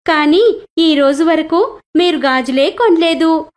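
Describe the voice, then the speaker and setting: A woman's voice: very high voice, slow, voice pushed up. A woman voicing a sweet little girl goddess who speaks like a polite, plaintive daughter in a devotional storybook tale. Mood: sad, pleading.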